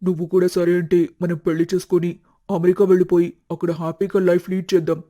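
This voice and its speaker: A man's voice: high-pitched, level tone, fast talker, dark tone. A man voicing a young ex-boyfriend in a cartoon story, explaining himself persuasively. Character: smooth-talking young ex-boyfriend.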